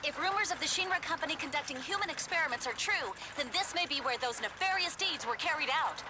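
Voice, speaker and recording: An actress playing a serious female TV news reporter in a video game, formal and crisp, delivering a scripted investigative report. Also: high voice, animated, fast talker, background noise. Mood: serious, portentous. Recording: loud music behind the voice.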